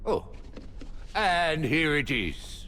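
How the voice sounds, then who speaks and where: Game role: fussy, overworked academy rector, pompous and formal, very animated intonation, reverberant hall with background noise. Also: male voice, a little high, drawn-out words, full character voice. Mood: pompous, helpful. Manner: pompous, hesitant stammering, old-fashioned formal phrasing. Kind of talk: video game dialogue acting.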